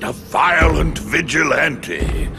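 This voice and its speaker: A man voicing a big, haughty crime boss in a video game, gravelly, pompous and threatening. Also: a little high, animated, takes it slowly, full character voice. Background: music.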